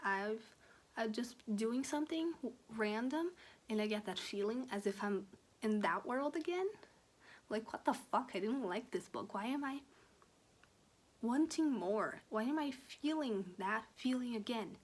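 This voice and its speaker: A young woman from Brazil, a native Portuguese speaker with a Brazilian accent, giving a candid, animated book review chat with casual swearing. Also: on the high side, unhurried. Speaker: book vlogger.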